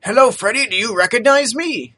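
Man playing a high-voiced, grateful young street vendor, humble and eager. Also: brisk, sharp tone, full character voice.

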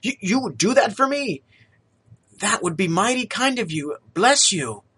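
A man voicing a humble, grateful young street vendor in a storybook reading, with a high, eager voice. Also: very animated, measured pace, bright, forceful tone, slight background noise, heavily acted.

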